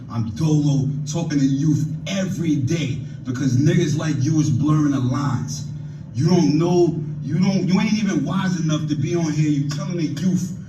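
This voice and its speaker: A man's voice: on the high side, warm tone. A man with a New York accent on a blunt, profane, confrontational rant in street slang, scolding someone. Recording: echoey, distant, noisy played-back clip.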